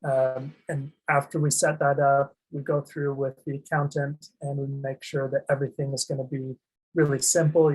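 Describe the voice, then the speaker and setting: A man walks through a finance presentation in a flat, monotone delivery. His voice is slightly husky and sits at an ordinary middle pitch. He fills pauses with ums and often restarts words mid-phrase. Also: poor audio. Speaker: asset protection presenter. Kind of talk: explaining a slide presentation.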